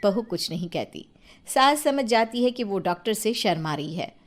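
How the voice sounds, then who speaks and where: Woman narrating a story, medium pitch. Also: lively intonation, normal speed.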